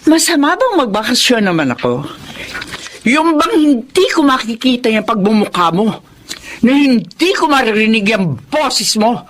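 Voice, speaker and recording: A woman's voice: fairly high pitch, very animated, moderate pace, a little hiss or hum. An actress with a Filipino accent playing a bitter, hard-hearted middle-aged mother in a melodramatic radio drama. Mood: scornful, defiant.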